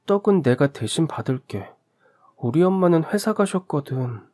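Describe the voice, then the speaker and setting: A man's voice: a little high, expressive, moderate pace, mellow, voice pushed up, natural voice. A man voicing a shy, lonely young boy who hesitantly invites a new neighbor kid over to play.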